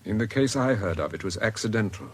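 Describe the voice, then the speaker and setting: A man's voice: fairly low pitch, lively intonation, quick pace, breathy edge. A man playing a guarded, wary character in a radio drama, speaking in terse, careful, dry phrases. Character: guarded, wary man quietly parrying an investigator's account. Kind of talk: British radio drama acting.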